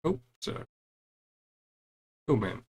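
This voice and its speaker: A man's voice: slow pace, darkish tone. A man giving casual commentary on a game as he plays, musing aloud and reading bits of dialogue. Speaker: gaming streamer.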